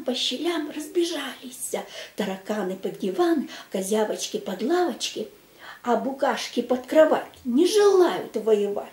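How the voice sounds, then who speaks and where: Slow, high-pitched older woman storyteller. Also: warm tone. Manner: theatrical, drawn-out, emphatic delivery. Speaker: amateur children's storyteller with a plush puppet. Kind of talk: expressive recitation of a children's verse tale. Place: small room at home. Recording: echoey home room recording.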